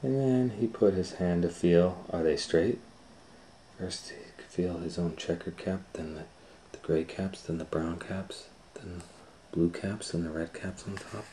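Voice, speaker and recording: Deep voiced guy reading a kids book out loud, takes it slow, little asides to the kids. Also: a little hiss or hum, rough recording. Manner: conversational asides and questions to listeners. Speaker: storytime host and reader. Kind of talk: picture-book read-aloud for children.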